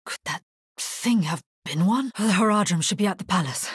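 A woman playing a grave, resolute necromancer hero in a video game, husky-voiced, speaking in a measured, solemn and terse way. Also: lowish voice, animated, dry recording, studio quality. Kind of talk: scripted video game character lines.

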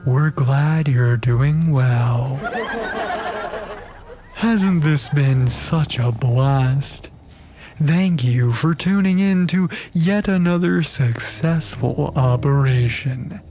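A man's voice: mid-range voice, mellow, a little hiss or hum. A man playing a deranged, gleeful surgeon who hosts a macabre show in theatrical, darkly comedic audio theatre. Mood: gleeful hosting. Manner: theatrical, slow, sinister showmanship.

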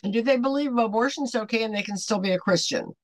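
A woman in her seventies teaching a Bible devotional to camera at home, earnest and deliberate, putting emphatic questions to the listener. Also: fairly high pitch. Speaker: online Bible study host. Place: small home room, indoors.

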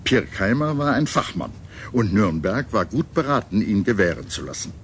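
Low-voiced male documentary narrator. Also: very animated, normal speed. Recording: music playing behind the voice. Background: background music.

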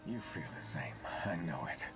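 An actor playing a rough, cocky male soldier in a game cutscene, gruff in battle and low and tender with the woman he loves. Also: sing-song, moderate pace. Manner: gruff and brash, then low tender murmuring. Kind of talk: video game cutscene voice acting. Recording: game audio under loud music.

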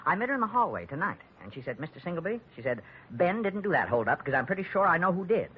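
An actor playing a henpecked, gossipy husband, rambling and confiding, in 1940s radio comedy-drama style. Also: male voice, sits high, sing-song, quick pace, husky, exaggerated voice. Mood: chatty. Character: gossipy, henpecked married man, comically talkative. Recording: dull vintage radio recording.

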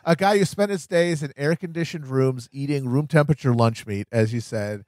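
A man's voice: mid-range voice, brisk, a little mellow, dry recording, clean studio sound. A man co-hosting a podcast, giving emphatic, wordy, profane comedic commentary on politics in a casual style. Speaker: podcast co-host.

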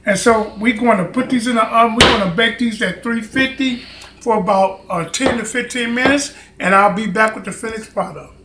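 A man's voice: high voice, brisk. Middle-aged man, chatty home-kitchen cooking host, talks to his camera mic in a roomy kitchen. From Chicago, United States. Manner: chatty, rambling, encouraging, with lots of fillers. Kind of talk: casual cooking tutorial. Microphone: camera mic, filming himself. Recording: echoey kitchen with faint noise.

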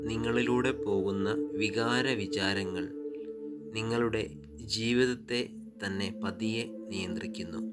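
A man with a Malayali accent guiding sleep hypnosis meditation, soothing and unhurried, pausing between short phrases over relaxing music. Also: lowish voice. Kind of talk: guided sleep hypnosis narration. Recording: relaxing music with singing bowls behind.

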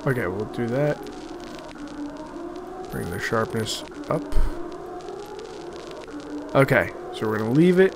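Slow, musing man with swinging pitch. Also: big pitch swings, vocal fry, over music. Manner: musing, halting, trailing off. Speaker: host of an art-making video series. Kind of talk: casual thinking-aloud screen-recording commentary.

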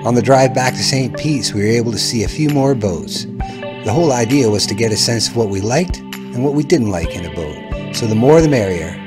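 A middle-aged man narrates a travel vlog as its host. His voice has an average pitch and sits over clearly audible music. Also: animated, normal speed.

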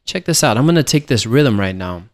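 A man narrating a software tutorial as an instructor, explaining things in a casual way. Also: medium pitch, very animated, brisk. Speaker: music production instructor.

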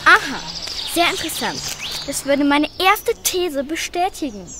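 A woman's voice: high voice, sing-song, a little slow, background noise. A woman playing Doctor Flint, a pompous know-it-all professor in a children's radio play, lecturing self-importantly.

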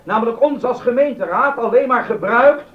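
An actor playing a sceptical, needling city councillor in a period drama, speaking formally and pointedly. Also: male voice, extremely high pitch, moderate pace, distant mic. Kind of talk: period radio-drama acting. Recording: dull sound, off the microphone.

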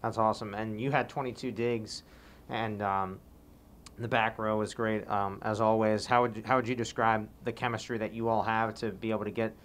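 An interviewer asking postgame questions off camera, friendly and a bit halting with fillers. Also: medium pitch, flat delivery, fairly bright tone. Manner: friendly, with fillers and repeated words. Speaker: sports interviewer.

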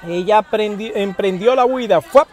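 A man's voice: sits high, normal speed, a faint crowd. A man, giving casual news commentary to camera from inside a parked car, speaking animatedly and appealing directly to his viewers. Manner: animated, addresses viewers directly, emphatic pleas. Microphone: wired earphone mic.

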